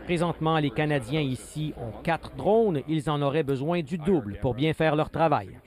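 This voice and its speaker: A man delivering a scripted news report from the field in a clear, measured way. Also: a little high, a little mellow.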